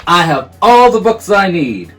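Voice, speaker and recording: A man voicing a grumpy, pompous male bear who talks loudly and huffily in a storybook reading. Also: fairly high pitch, animated, unhurried, soft background music. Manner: loud, huffy, commanding.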